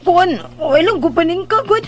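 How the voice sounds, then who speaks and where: A woman's voice: very high-pitched, expressive, a little fast, soft background music. A woman playing a timid, flustered young housemaid in a radio drama, hesitant and stammering, with a Bisaya accent. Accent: Filipino, Bisaya.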